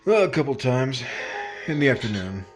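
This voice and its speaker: A man's voice: mid-range voice, sing-song, normal speed, music in the background, lightly acted. A man acting in 1950s-style radio drama as a despondent husband who has left his marriage, speaking hesitantly and trailing off. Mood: wry.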